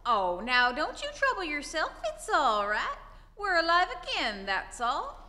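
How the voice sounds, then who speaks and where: A woman's voice: sits high, huge pitch swings, unhurried, sharp tone, full character voice. A woman playing a sweet, fussing elderly mother in an old-time radio play. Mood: soothing, fond. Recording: reverberant hall, live stage recording.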